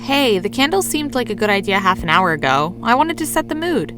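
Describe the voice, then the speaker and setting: A woman's voice: medium pitch, big pitch swings, fairly quick, noisy background, natural voice. A woman playing a blunt, impulsive young woman in an audio drama, flippant and pushy. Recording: music behind the voice.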